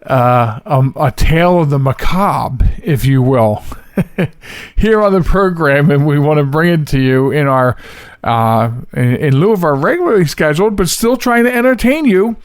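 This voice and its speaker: A man's voice: on the high side, lively intonation, normal speed, clear, resonant tone, dry recording, clean studio sound. A man hosting a podcast, talking casually and rambling a little as he introduces the show. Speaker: podcast host.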